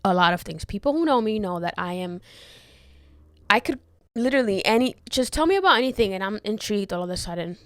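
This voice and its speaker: A woman's voice: medium pitch, lively intonation, quick pace, dry recording, clean studio sound. A young woman from the Dominican Republic hosting her own podcast from her bedroom, chatting candidly with frequent swearing and restarts. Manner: candid, swears freely, trails off and restarts, laughs often. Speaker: podcast host. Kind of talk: rambling solo podcast chat. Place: bedroom, sitting on bed. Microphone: handheld mic.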